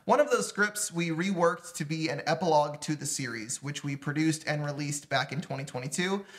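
A man's voice: a little high, brisk. A young adult man casually introducing a script read-through as host and creator. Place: small indoor room with shelves and table.

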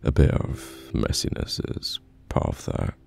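A man playing a caring, patient boyfriend in an ASMR roleplay, speaking gently and haltingly with reassurance, close to the mic. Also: close to an everyday voice. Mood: calm, reassuring. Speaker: voice actor in an audio roleplay. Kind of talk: soft-spoken ASMR boyfriend roleplay, pillow talk. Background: soft piano or guitar music.

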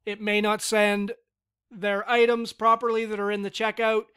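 A man giving a relaxed, step-by-step screen-recorded software tutorial with casual fillers. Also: high-pitched, moderate pace, smooth. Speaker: tutorial instructor.

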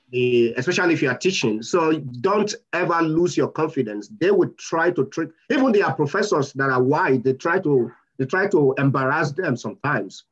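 A man speaks over a muffled, lo-fi video call, his voice husky and slightly raised. His pitch swings lively as he gives blunt advice. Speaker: webinar panelist. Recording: dull husky call audio.

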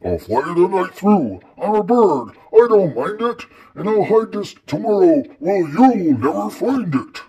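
A man's voice: sits high, very animated, unhurried, hardly any pauses, mellow, slightly rough, voice pushed up, heavily acted. A man voicing a mighty, boastful eagle villain in a storybook with a scornful, commanding sneer.

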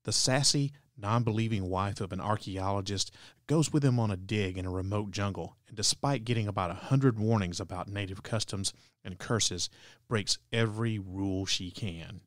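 A man's voice: fairly low pitch, normal speed, no room sound. A man hosting a podcast, reading a scripted introduction into a close microphone. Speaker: podcast host. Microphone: close microphone, dry recording.

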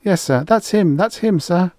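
A man's voice: high-pitched, measured pace, mellow, no room sound, clean studio sound, voice pushed up. A man voicing a frightened, servile hunting guide who stammers and pleads as he reads a science fiction story. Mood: desperate, tattling. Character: terrified, pleading, cowardly male hunting guide.

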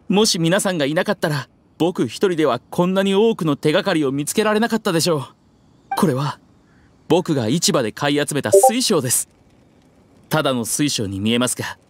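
Polite, higher-voiced young man. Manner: courteous, gentle, earnest. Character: polite, earnest young stone enthusiast. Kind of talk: anime game voice acting.

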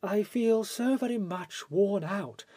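A man's voice: high voice, slow pace, mellow, no echo, voice pushed up. A man voicing a vivacious, gushing society actress in a theatrical manner, reading from an audiobook. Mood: chatty, complaining.

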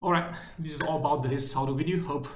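A middle-aged man presenting a step-by-step product tutorial in halting, repetitive, simple instructional phrases. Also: on the high side, steady tone, a little hiss or hum. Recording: echoey room sound.

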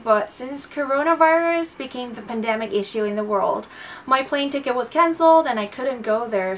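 A woman's voice: on the high side, a bit bright, a little hiss or hum. A young woman with a Korean accent, her native language, answering viewer questions in a casual vlog from a small room. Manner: reads questions, then answers cheerfully. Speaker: language vlogger. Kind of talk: casual Q&A to camera. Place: small bright room indoors.

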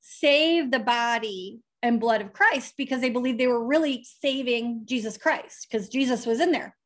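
A middle-aged woman pastor delivers an animated sermon from her desk, speaking on a webcam. Her voice sits high for a woman. She keeps posing questions to the congregation and supplying the answers herself. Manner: animated, gestures while preaching. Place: indoors with a virtual background, preaching on a video call.

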